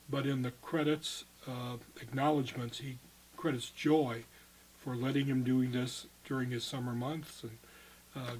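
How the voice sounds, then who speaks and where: An older man with a husky, slightly rough voice looks back on his years as a cave guide in an oral history interview. He rambles and corrects himself as he goes. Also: medium pitch, poor audio. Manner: rambling, halting, self-correcting.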